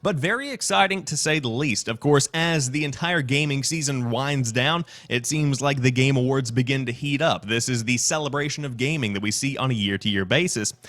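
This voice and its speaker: A man hosting a gaming news show in an energetic, polished presenter style, mixing scripted reading with commentary. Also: mid-range voice, moderate pace, few pauses.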